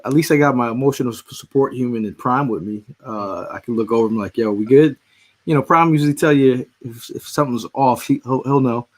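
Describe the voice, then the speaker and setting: A man's voice: medium pitch, fast. A man hosting a casual sports podcast, rambling with restarts, his voice just recovering after he lost it. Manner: rambling, frequent restarts and fillers. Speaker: podcast host.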